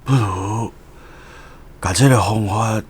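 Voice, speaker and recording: 男聲演出的喘息垂死的老農父親. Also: male voice, lowish voice, slow pace, breathy edge, pitched below the performer's own voice. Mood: weak, secretive. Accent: Taiwanese Hokkien. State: out of breath. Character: dying old farmer father, weak, gasping. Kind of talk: radio drama acting.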